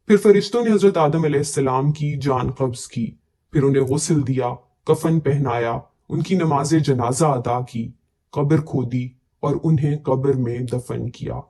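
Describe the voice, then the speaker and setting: A man tells religious stories as a scripted narration. His voice sits a little high for a man and has a soft, mellow tone. The delivery is formal, measured and reverent.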